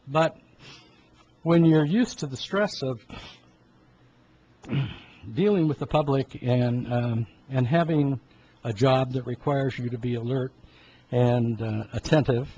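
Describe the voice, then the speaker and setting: A man in his seventies giving an informal health talk in a halting, rambling way, often clearing his throat. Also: medium pitch, darkish tone. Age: 70s. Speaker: health talk host, medical practitioner. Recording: dull lo-fi sound with background noise.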